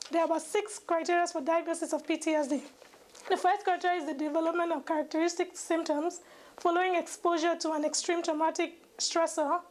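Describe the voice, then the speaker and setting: A woman's voice: very high voice, poor audio. A young woman, a medical student, giving a scripted educational presentation, reading formally and carefully with occasional stumbles. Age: young adult.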